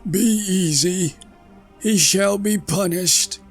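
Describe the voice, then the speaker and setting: A man's voice: takes it slowly, faint music behind, exaggerated voice. A man voicing a proud old bird king, speaking sternly in a raised, commanding voice. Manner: stern, commanding, high pitched.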